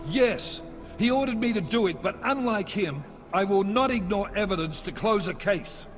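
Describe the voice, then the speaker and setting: An actor playing a blunt, exasperated police unit commander in a video game, with a stern manner. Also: male voice, high voice, lively intonation, moderate pace, big-room sound, a little hiss or hum. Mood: angry. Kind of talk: cinematic video game acting. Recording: game soundtrack music behind.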